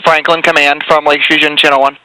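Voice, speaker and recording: A man dispatches fire crews over a faintly noisy radio channel. He talks very quickly and crisply in procedural phrases, with a bright, slightly raised voice. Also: on the high side, level tone. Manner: clipped, procedural. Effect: two-way radio. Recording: compressed radio dispatch audio with hiss.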